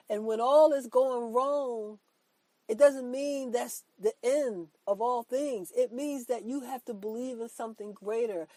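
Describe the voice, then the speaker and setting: A woman's voice: on the high side, mellow, no echo, low-quality recording. A woman hosting a motivational faith podcast, sounding congested from a cold, talking earnestly and repeating her points for emphasis. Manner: earnest, repetitive, emphatic preaching; affirming herself with "that's right". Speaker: podcast host.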